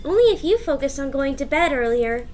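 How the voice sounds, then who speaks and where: A woman's voice: sits high, expressive, a little fast, a little mellow, faint music behind. A woman voicing a sweet, playful, childish teenage schoolgirl with a cutesy, teasing manner in a visual novel. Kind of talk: visual novel read-aloud.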